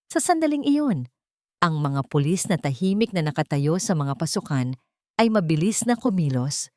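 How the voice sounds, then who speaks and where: A woman with a Filipino accent narrates a scripted audio drama in a measured, solemn, theatrical way. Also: sits low, sing-song, a little mellow, no room sound.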